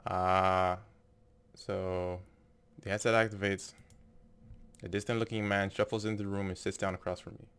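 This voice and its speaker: A man's voice: low-pitched, little pitch movement, moderate pace, long pauses, fairly bright tone, clear, resonant tone. A man doing casual video game commentary, reading on-screen text aloud and musing tentatively as he goes. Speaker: gameplay video narrator. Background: game music.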